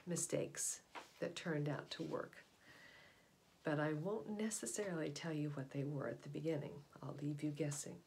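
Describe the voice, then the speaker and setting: A middle-aged woman reads a children's nonfiction book aloud with deliberate, expressive emphasis and explanatory asides, recorded indoors on a device at about arm's length. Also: fairly low pitch, a little hiss or hum. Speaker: read-aloud storyteller. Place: small indoor room at home, in front of wooden doors. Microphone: camera or device mic at about arm's length.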